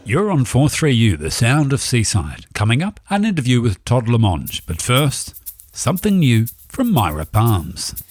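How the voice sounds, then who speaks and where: A man's voice: mid-range voice, huge pitch swings, a little slow. A man presenting as a radio announcer with scripted, mock-epic promo narration.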